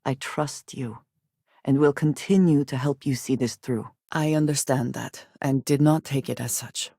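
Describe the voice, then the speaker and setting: A woman voicing a guarded, intense young space adventurer in a video game, speaking formally with measured diction and few contractions. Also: extremely low pitch, vocal fry, mellow, slightly rough, dry recording, studio quality, exaggerated voice. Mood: sincere, warm. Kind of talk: video game character dialogue.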